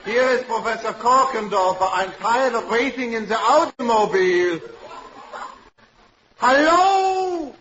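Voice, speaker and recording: An actor playing an impatient, cocksure, grumpy professor in an old radio comedy. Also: male voice, extremely high pitch, animated, measured pace, fairly bright tone, roomy sound, heavily acted. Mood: irritable, cocksure. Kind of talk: 1940s radio comedy acting. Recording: muffled, very noisy vintage radio.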